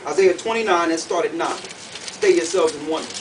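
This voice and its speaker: High-pitched man preaching, from afar. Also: moderate pace, far from the mic. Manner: colloquial, emphatic, repeats questions rhetorically. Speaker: preacher teaching a group. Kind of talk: animated sermon with questions to the audience. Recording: noisy room, picked up from afar.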